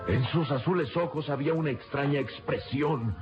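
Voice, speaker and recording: A man's voice: on the high side, normal speed. A man narrating an old adventure radio serial in a solemn, emphatic, suspenseful style. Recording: dull lo-fi radio serial, loud music.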